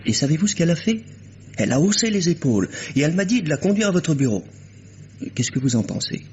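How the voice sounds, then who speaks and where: An actor playing a chatty, fussy caretaker in a vintage radio drama, folksy and hesitant. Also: male voice, on the high side, big pitch swings, quick pace, darkish tone. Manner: folksy, hesitant fillers, gossipy. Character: chatty, fussy male doctor's caretaker, gossipy. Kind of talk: 1950s French radio drama acting. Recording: dull old radio recording with faint hiss.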